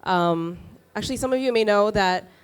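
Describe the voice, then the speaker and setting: A woman's voice: mid-range voice, moderate pace, smooth. A woman from Taiwan, a venture capital partner, giving a fluent conference presentation with frequent "um" fillers. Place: conference stage.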